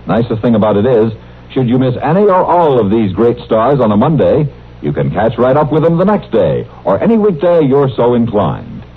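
A man's voice: medium pitch, very animated, moderate pace, husky. An American man announcing on old network radio, reading scripted copy in a polished, promotional manner. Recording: dull old radio broadcast recording.

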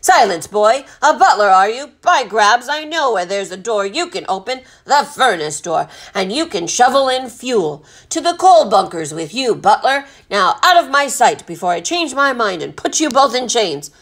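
Storybook captain female reader: old, blustering male seaman, loud and commanding, gravelly edge. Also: on the high side, sing-song, normal speed, few pauses, exaggerated voice. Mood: furious, commanding. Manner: bellowing, salty old-time sailor talk. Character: blustering, gruff old sea captain, bellowing bull of a man.

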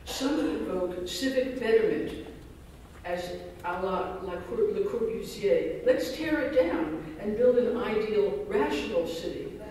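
Male keynote lecturer reading a scholarly paper, high-pitched, distant mic in a reverberant hall. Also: expressive, measured pace, darkish tone. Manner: dense scholarly phrasing, self-deprecating asides. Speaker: keynote speaker. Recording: echoey hall recorded from far off.

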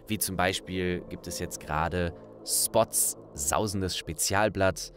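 A man's voice: deep voice, slow, fairly bright tone, soft background music. A man hosting an audiobook project, speaking casually and directly to his listeners. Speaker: audiobook narrator and host. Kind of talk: casual thank-you address to listeners.

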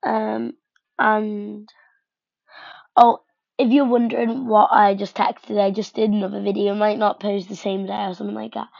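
A woman's voice: medium pitch, little pitch movement, normal speed, vocal fry, a little mellow, no room sound. A woman casually narrating while she decorates a game house, thinking aloud with many fillers and hesitations. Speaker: gaming vlogger. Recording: dull lo-fi home mic.

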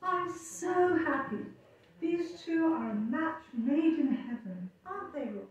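A woman playing a gentle, hopeful royal matriarch and peacemaker in an earnest, pleading stage reading. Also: sits high, animated, unhurried, a little hiss or hum. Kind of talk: amateur staged dramatic reading. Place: wood-panelled hall, indoors. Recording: distant, very echoey hall, muffled.

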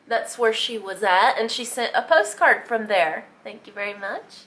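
A woman's voice: on the high side, lively intonation, normal speed, fairly bright tone. A woman vlogging at home, chatting casually while she holds up greeting cards and reads them aloud into a handheld camera. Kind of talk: casual vlog narration.

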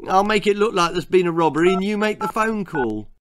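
A man voicing an excitable, mischievous young boy in a children's story. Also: sits high, fairly quick, background noise, voice pushed up. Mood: scheming.

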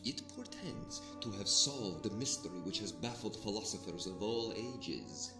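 A man's voice: on the low side, big pitch swings, measured pace. A man voicing an old male scholar in an audio drama, speaking in grand, old-fashioned lecturing tones. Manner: archaic, grandly lecturing speech. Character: old male scholar with an ancient scroll, learned and earnest. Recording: roomy reverb, music bed.

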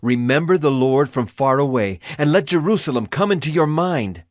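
A man's voice: fairly high pitch, expressive, normal speed, no echo, clean studio sound, natural voice. Man acting a solemn prophet proclaiming judgment. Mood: solemn wrath. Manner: solemn, declamatory. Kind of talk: dramatized scripture proclamation.

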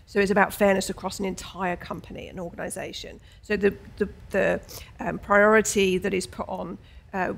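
A woman speaking on a panel, explaining findings in a measured way. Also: mid-range voice, creaky. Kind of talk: panel discussion. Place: bright room with large windows.

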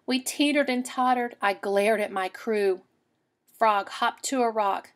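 A woman with a fairly high voice, speaking as a teacher. She is expressive and explains things to children during a read-aloud. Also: measured pace.

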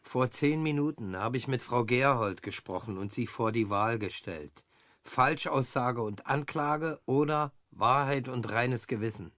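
An actor playing a probing, matter-of-fact police detective in a radio crime drama. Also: male voice, medium pitch, unhurried, fairly bright tone, dry recording, studio quality, close to an everyday voice. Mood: pressing.

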